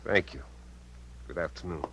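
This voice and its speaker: An actor playing a guarded, coldly commanding uncle in a 1950s radio drama. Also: male voice, low-pitched, moderate pace, heavy background noise, lightly acted. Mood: cold, irritated.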